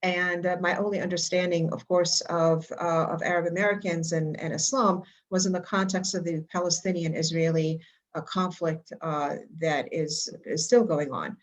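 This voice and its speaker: An older woman from Egypt giving a prepared talk with slides at a school board meeting, halting and full of fillers and restarts. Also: on the low side, steady tone. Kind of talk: prepared public comment with slides.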